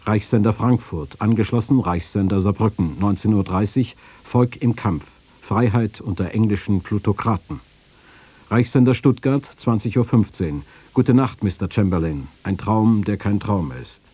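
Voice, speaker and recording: Second documentary voice: man, low and warm, husky edge, formal, measured reading. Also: no room sound. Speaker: radio feature speaker and quotation reader.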